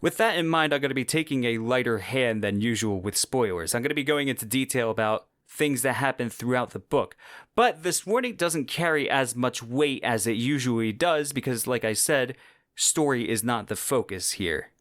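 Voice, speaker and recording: Male podcast host, mid pitch, enthusiastic and conversational, very dry sound. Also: expressive, moderate pace, a bit bright, no echo. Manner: enthusiastic, informal. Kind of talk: casual solo podcast commentary.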